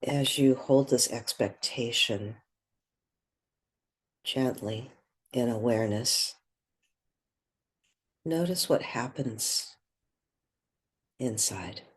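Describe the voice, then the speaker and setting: A woman leads a guided meditation in a husky voice. She speaks very slowly and gently, setting out short phrases and leaving long pauses between them. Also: very deep voice.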